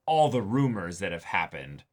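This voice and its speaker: A man's voice: low-pitched, sing-song, normal speed. A man around his thirties hosting a reaction show in casual chat, thinking aloud and repeating phrases as he goes. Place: small indoor room at a desk.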